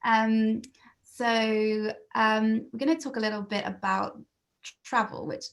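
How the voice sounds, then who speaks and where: A woman hosts an interview over a video call, her voice gravelly with fry. She talks hesitantly, with frequent ums between phrases. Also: a little high, steady tone, measured pace. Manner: hesitant, many fillers. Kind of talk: hosting an interview.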